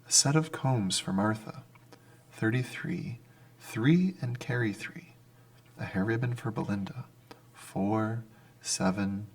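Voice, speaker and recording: A man's voice: fairly low pitch, slow, a little mellow. A man playing a kindly, dutiful clerk, deferential and apologetic, in old-time radio drama style. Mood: busy, murmuring. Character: meek, kindly, dutiful underpaid male clerk.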